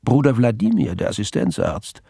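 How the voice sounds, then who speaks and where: An actor as the composed narrator of a radio crime play, telling the story in a measured, matter-of-fact, literary way. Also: male voice, mid-range voice, huge pitch swings, vocal fry, dark tone, slightly rough, no echo, studio quality, full character voice.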